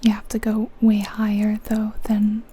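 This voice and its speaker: A woman whispering breathily in an ASMR style, musing aloud in an unhurried, soft way as she comments on a game. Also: mid-range voice, completely flat delivery, slightly rough. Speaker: ASMR video creator.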